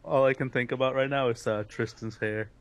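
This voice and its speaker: A man's voice: mid-range voice, steady tone, brisk. A man co-hosting a casual fan podcast, talking in an enthusiastic, emphatic way.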